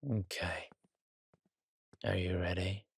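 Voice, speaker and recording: A man voicing a suave fantasy king in a villain roleplay audio drama, speaking with smooth, measured and quietly threatening courtesy. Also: low voice, flat delivery, pitched below the performer's own voice, full character voice. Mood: soft, reassuring. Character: suave menacing male fantasy king, softly imposing.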